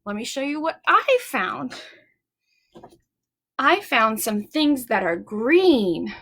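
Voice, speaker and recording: Female children's story time host, very high voice, slow and deliberate delivery, encouraging and animated. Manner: encouraging, animated, addressing young children directly; simple repeated phrases and questions to the listener. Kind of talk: children's story time with chants, songs and simple explanations.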